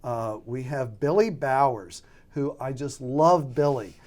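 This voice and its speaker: An American man being interviewed as a radio drama producer, talking casually and haltingly as he thinks aloud. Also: mid-range voice, lively intonation, a little mellow.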